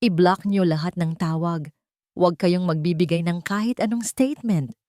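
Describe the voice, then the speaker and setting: A woman's voice: lowish voice, normal speed, mellow, dry recording, studio quality, lightly acted. A woman voicing a wealthy, angry and protective male CEO in a narrated love drama, grim and commanding.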